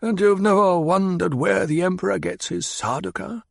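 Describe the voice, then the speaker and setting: A man voicing a cold, sardonic old advisor who speaks in clipped, cutting sentences, pitched higher than the narration. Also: moderate pace, gravelly, no echo, clean studio sound, exaggerated voice. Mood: scornful. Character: cold, scornful old male mentat advisor.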